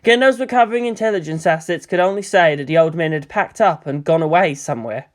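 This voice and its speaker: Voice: medium pitch, fast talker. A narrator reading a scripted story aloud as an audiobook of fan fiction.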